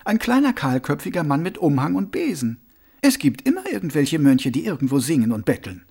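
A man voicing a cool, sharp-tongued young streetwise woman in an audiobook, with a dry, mocking, brisk delivery. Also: on the high side, wildly swooping pitch, gravelly, slightly rough, voice pushed up, heavily acted. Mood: flippant.

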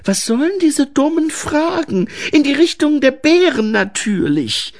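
Storybook young girl, male reader: irritable, suspicious, sharp-tongued, high-pitched. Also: huge pitch swings, drawn-out words, exaggerated voice. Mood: annoyed. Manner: sharp, openly annoyed. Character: irritable, distrustful, snappish young girl Grete.

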